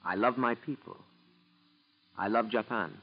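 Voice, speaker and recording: An actor playing an earnest, polite young Japanese-American student with an American accent, in 1940s radio drama style. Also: male voice, lowish voice, unhurried. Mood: guarded, earnest. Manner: respectful, earnest, questioning. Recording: dull 1940s radio recording.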